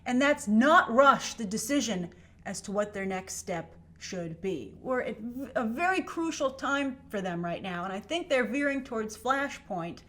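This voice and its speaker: A woman's voice: on the high side, animated, measured pace, a bit bright. A woman hosting an online film commentary show, speaking in an emphatic, conversational way and often checking in with rhetorical questions. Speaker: YouTube host and film commentator. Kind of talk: opinionated commentary to camera.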